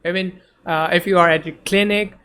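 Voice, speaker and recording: A man's voice: high voice, steady tone, a little fast, sharp tone. A man whose first language is Urdu, teaching in a casual lecture over slides, hesitant, with many fillers and restarts. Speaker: tutor.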